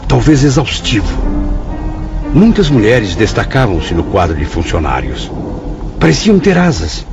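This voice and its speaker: A man with a Brazilian Portuguese accent plays a troubled male spirit who asks earnest, somber questions in a radio drama. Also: mid-range voice. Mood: somber narration. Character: troubled adult male spirit who took his own life, questioning. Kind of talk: radio drama narration and acting. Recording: dull sound with music behind.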